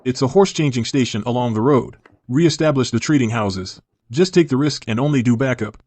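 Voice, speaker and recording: An actor playing a guarded, authoritative young prince of imperial China in a dubbed period drama. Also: male voice, medium pitch, a little fast, a little mellow, dry recording, lightly acted. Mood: commanding, urgent. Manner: curt, authoritative orders.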